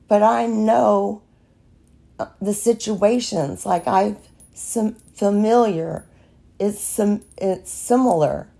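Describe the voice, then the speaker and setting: A woman with a raspy voice from allergies hosts her own podcast in an unscripted devotional monologue. She talks slowly and earnestly, with a mellow, gravelly tone. She often circles back and restarts her phrases as she encourages listeners. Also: medium pitch. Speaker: podcast host.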